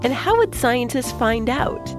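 Woman hosting, lively pitch, music underneath. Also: measured pace. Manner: clear simple explaining, addressing young listeners. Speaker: podcast host. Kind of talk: conversational kids' science podcast explainer.

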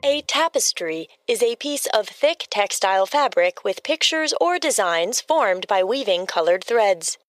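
Woman, mid-pitched, literal encyclopedic narration, deadpan, exact, close dry sound. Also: a little slow, hardly any pauses, no room sound. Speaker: fact-giving co-narrator. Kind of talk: scripted encyclopedic asides.

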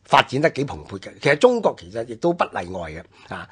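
Man, Hong Kong Cantonese accent, radio history commentator, husky mid-pitched voice, halting phrasing, drawn-out particles. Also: huge pitch swings. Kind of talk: unscripted explanatory history talk.

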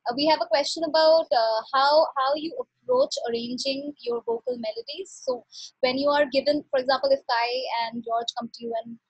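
A woman's voice: high-pitched, sing-song, brisk, gravelly, dry recording, highs cut off. A young woman interviewing a guest on a video call through a headset, asking questions hesitantly and often repeating words.

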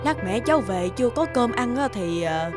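A woman's voice: on the high side, animated, normal speed, over loud music, natural voice. A woman playing a meek, kindly older housemaid in a cartoon, speaking hesitantly and deferentially. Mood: hesitant, yielding. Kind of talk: cartoon dubbing.

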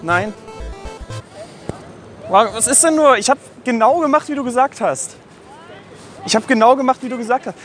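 A man's voice: extremely high pitch, normal speed, pauses often, fairly bright tone, soft background music. A young man from Dortmund in a street prank comedy sketch, talking in an awkward, hesitant, word-searching way outdoors on a busy city square. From Dortmund, Germany.

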